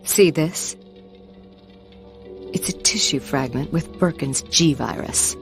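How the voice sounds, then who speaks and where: An actress playing a composed, determined female spy in a video game, low and controlled, with deliberate introspective delivery. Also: soft background music. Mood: cool, terse. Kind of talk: dramatic video game cutscene monologue.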